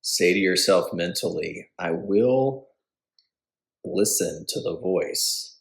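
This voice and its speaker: A man leading a guided yoga nidra meditation as instructor, speaking calmly and deliberately with pauses between phrases. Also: low-pitched, creaky.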